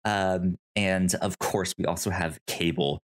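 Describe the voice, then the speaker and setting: Slow-paced man, halting podcast co-host. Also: low-pitched, steady tone. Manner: halting, stammering with repeated false starts. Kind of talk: casual movie discussion.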